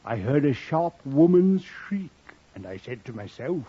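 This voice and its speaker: An actor playing an earnest country villager giving deliberate testimony as a murder witness in a 1950s radio drama. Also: male voice, mid-range voice, big pitch swings, mellow, husky. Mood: earnest, solemn. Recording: muffled 1950s radio recording.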